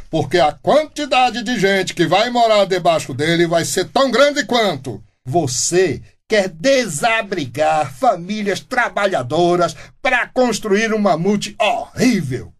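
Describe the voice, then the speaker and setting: A man's voice: high-pitched, lively intonation, takes it slowly. A man playing a stubborn, scheming small-town candidate in a comic radio drama, with a Brazilian accent and exaggerated bluster. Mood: indignant blustering.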